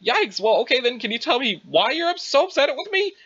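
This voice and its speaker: A man's voice: extremely high pitch, big pitch swings, fairly quick, sharp tone, slightly rough, lo-fi sound, exaggerated voice. A man playing a rambling, self-absorbed young adventurer in an audio drama, with bumbling, old-fashioned folksy turns of phrase. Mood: bewildered, apologetic. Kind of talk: dramatized dialogue reading.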